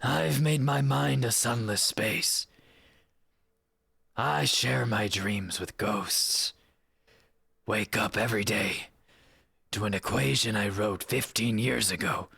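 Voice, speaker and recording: Man playing embittered rebel, very husky, slow deliberate delivery, dramatic monologue, dry close sound. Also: lowish voice, fairly even intonation, sharp tone, dry recording, full character voice. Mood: sorrowful, resigned. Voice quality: raspy. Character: weary, bitter rebel who sacrificed everything for the cause.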